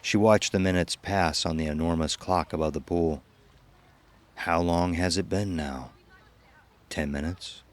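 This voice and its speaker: A man's voice: low voice, steady tone, a little slow, pauses often. A man giving scripted narration as the narrator of an audio horror drama. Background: music.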